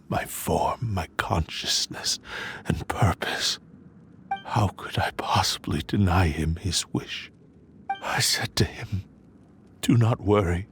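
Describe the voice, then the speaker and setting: Ancient, sorrowful sword spirit. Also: male voice, low voice, fairly bright tone. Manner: slow, weary and grave, sighing.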